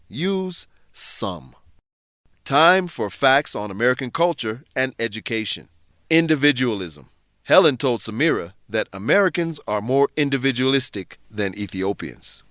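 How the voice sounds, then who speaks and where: A man narrating a scripted English lesson, explaining things in clear phrases for learners. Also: mid-range voice, a bit bright, no room sound, studio quality.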